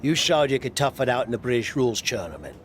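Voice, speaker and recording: A man's voice: mid-range voice, sing-song, quick pace, a little hiss or hum, lightly acted. An actor playing a straight-talking male wrestling mentor giving candid advice in a video game cutscene. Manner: candid, advisory.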